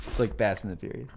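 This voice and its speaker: A man's voice: on the low side, vocal fry, a little mellow. A man bantering casually as a player in a tabletop game, jokey and often laughing as he talks.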